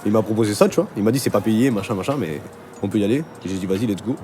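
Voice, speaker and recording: A man's voice: fairly low pitch, expressive, very fast, dark tone, a little hiss or hum. A man from Argentina, telling his story in a casual, slangy interview style. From Argentina, moved to France at thirteen. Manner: slangy, informal, punctuated with conversational tags. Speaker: interviewee, music video director.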